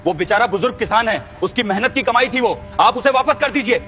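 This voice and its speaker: A man acts a principled young soldier in a cartoon moral story. His voice is fairly high, his manner earnest, polite and steadfast. Music runs behind him. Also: fairly quick, pushed and bright. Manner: earnest, respectful. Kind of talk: scripted animated moral story.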